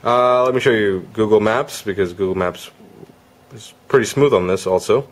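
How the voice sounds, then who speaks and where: A man's voice: on the low side, normal speed. A man giving a casual, conversational product demo as a gadget reviewer.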